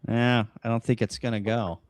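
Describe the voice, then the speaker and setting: An American man hosting a casual livestream, talking through ideas in halting, short phrases. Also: medium pitch, flat delivery, no room sound. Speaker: host of a ham radio livestream. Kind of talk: casual livestream brainstorming talk. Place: upstairs room at home, kitchen table.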